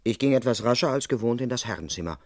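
An actor playing a dutiful, politely flustered police constable, formal and deliberate, narrating and speaking in a crime radio play. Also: male voice, mid-range voice, expressive, a little mellow, no room sound, studio quality, close to an everyday voice. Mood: deadpan narrating.

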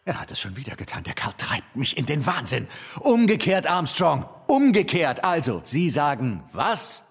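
Explosive film director in a booming hall. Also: male voice, very animated, fast, creaky, pushed and bright, breathy edge, full character voice. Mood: exasperated. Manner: imperious, sarcastic, explosive. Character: exasperated, tyrannical male film director, cursing and barking orders. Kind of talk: radio play acting. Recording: reverberant hall, music behind.

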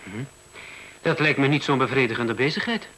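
An actor in a radio play playing a polite, sceptical male visitor who asks puzzled, measured questions. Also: mid-range voice, bright, forceful tone, poor audio. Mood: politely sceptical. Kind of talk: radio drama acting. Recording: hissy old radio recording.

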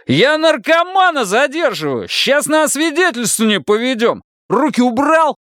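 A man voicing a crazed young male plainclothes drug cop who bullies and shouts threats. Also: sits high, very animated, measured pace, no room sound, studio quality, voice pushed up, exaggerated voice. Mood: shouting, aggressive.

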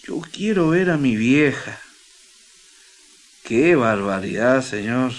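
An actor playing an earnest, sentimental young Chilean miner in a radio drama, speaking in a folksy, emotional way. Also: male voice, mid-range voice, animated, slow. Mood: homesick, troubled. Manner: folksy, emotional colloquial speech.

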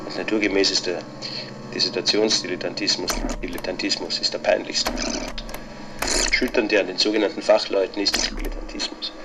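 A man's voice: sits low, little pitch movement, fairly quick, noisy background, close to an everyday voice. A male actor playing a bitter, pedantic middle-aged scholar in a radio drama, circling obsessively through repeated phrases. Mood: bitter, agitated. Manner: obsessive, repetitive, pedantic monologue. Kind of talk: literary radio drama monologue.

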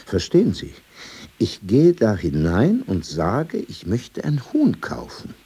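A man's voice: lowish voice, wildly swooping pitch, dark tone, breathy edge, full character voice. An actor playing a courteous, opinionated old man in a radio play, telling anecdotes insistently with many asides. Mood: insistent, wry. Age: older. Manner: polite, insistent, drawn-out storytelling with asides.

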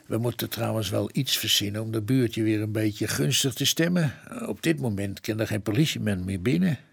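A man's voice: on the low side, fairly quick, vocal fry, slightly rough. An actor playing a sceptical, informal police detective in a Dutch radio play, questioning what he hears. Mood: practical.

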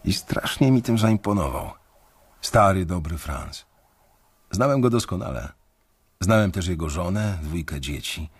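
An actor narrating in first person as an anxious, sardonic bank employee facing layoff, in a measured, dry radio drama delivery. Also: male voice, low voice, expressive, breathy edge, heavily acted. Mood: somber. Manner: measured, dry, wry storytelling.

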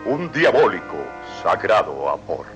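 A man's voice: sits low, big pitch swings, unhurried, loud music behind the voice. A man playing a grave, florid narrator who announces the chapters of an old radio soap. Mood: grave, melodramatic.

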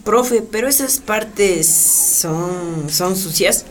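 A woman's voice: medium pitch, very slow, slight background noise. A woman playing a bashful, hesitant young pupil in a radio drama. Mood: embarrassed.

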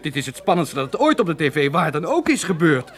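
An actor playing an excitable, opportunistic male television director in a radio play, frantic and prone to stammering. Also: on the high side, fast. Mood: stunned, thrilled. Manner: frantic, stammering, self-questioning. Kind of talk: Dutch radio play acting.

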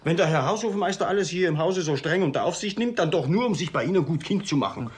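An actor playing a blunt, soft-hearted, cheeky servant in a radio comedy, plain-spoken and theatrical, easily turning plaintive. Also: male voice, a little high, animated, fast talker, lightly acted. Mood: blunt, mocking. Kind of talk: German radio play comedy acting.

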